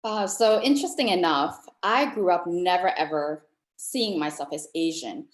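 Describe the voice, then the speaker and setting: A woman's voice: fairly low pitch, moderate pace, reverberant. A woman from Southeast Asia speaking as a panelist, telling her story earnestly. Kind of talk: panel discussion.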